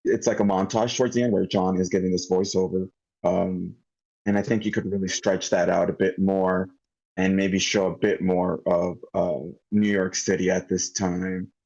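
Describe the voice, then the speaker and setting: Man, very deep pitch, hesitant, thinking aloud, casual critique, muffled low-fidelity call audio. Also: little pitch movement, moderate pace, gravelly, darkish tone. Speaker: participant giving script feedback. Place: online group meeting. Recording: dull lo-fi online call audio.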